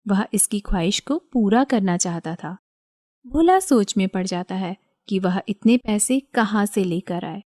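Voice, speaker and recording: A woman narrating a scripted animated story as the storyteller. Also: medium pitch, measured pace, no room sound, studio quality.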